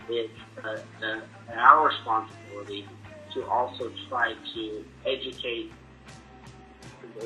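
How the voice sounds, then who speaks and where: A young man who stutters, with repeated sounds and restarts, telling his own experience in an earnest, measured way. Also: mid-range voice, bright, forceful tone, rough recording. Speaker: person sharing his experience. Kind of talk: personal testimony to camera.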